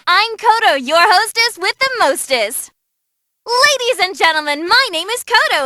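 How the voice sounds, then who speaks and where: Cute hyper fight hostess girl for a game, super bright piercing voice, drawn out showy calls, loves carnage. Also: very high voice, dry recording, studio quality, heavily acted. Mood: perky, gleeful. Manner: perky, showy, gleefully relishing violence. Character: cute bubbly bloodthirsty female tournament announcer. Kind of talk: theatrical arena match commentary for a game.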